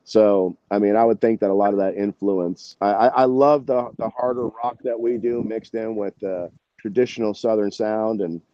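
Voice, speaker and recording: A man's voice: lowish voice, flat delivery, moderate pace, no room sound, highs cut off. A man talking casually as an interview guest, a singer telling stories in a rambling, slangy, salty way. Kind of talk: casual interview chat, anecdotes.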